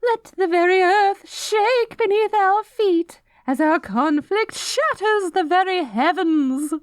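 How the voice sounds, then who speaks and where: A woman's voice: very high-pitched, slow, no echo, studio quality. A woman voicing a vain, haughty, self-righteous queen in an audio roleplay, pompous, prim and melodramatic. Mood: grandiose. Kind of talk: scripted ASMR audio roleplay.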